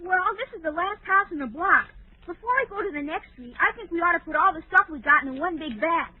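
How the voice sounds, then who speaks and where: An actor playing an excitable, eager young child out trick-or-treating in a 1940s radio comedy, boasting in kid slang. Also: very high voice, fast talker, dry recording. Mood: chatty, eager. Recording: tinny narrow-band old radio recording.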